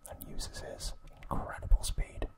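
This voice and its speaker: Man whispering very slowly, ASMR style. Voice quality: breathy, unvoiced whisper. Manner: slow, soft, gentle delivery. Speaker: ASMR host. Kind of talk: ASMR whispered episode recap.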